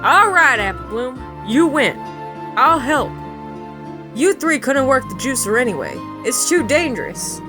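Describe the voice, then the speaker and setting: Girl voicing a blunt folksy farm pony big sis, high voice that swings a lot, fan audio drama. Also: very animated, a little slow, music in the background. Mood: weary, grudging. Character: grown pony farm mare, weary big sister turning frightened. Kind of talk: amateur audio drama acting.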